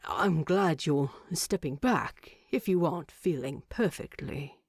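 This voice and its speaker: A woman puts on a gruff male dwarf king for a fantasy tale. He speaks slowly and tersely, guarded in his concern and deadpan when he teases. The sound is dry, with no room to it. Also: low voice, no room sound, voice pushed down, heavily acted. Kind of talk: fan fiction narration with character voices.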